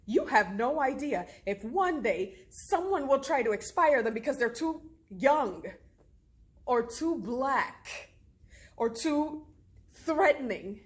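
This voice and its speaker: Woman acting worried mom in a stage monologue, highish voice, pleading, pausing for weight. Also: animated. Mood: anxious, subdued. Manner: emphatic, pleading, deliberate pauses. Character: anguished, protective mother fearing for her young son.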